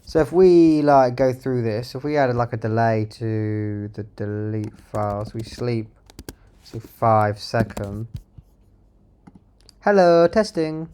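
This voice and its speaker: A man's voice: mid-range voice, slow pace, warm tone, clear, resonant tone. Man casually thinking aloud as he codes. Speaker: software developer presenting own project. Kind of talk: casual live-coding narration, thinking aloud.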